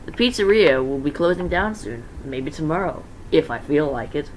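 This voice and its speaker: A man's voice: a little high, expressive, normal speed, a little hiss or hum, lightly acted, low-quality recording. A man voicing a scheming businessman villain who talks in a falsely reassuring way, in a fan-story reading. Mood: slyly scheming. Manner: smooth-talking, falsely soothing.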